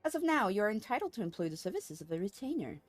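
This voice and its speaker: A woman's voice: mid-range voice, sing-song, fast talker. A woman voicing an earnest, formal lady leader of a secret order in a fantasy game, with courtly speech.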